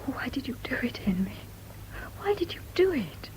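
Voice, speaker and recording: High-voiced, anxious young woman in love. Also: big pitch swings, moderate pace, a little mellow, a little hiss or hum, poor audio. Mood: desperate. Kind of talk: radio drama acting.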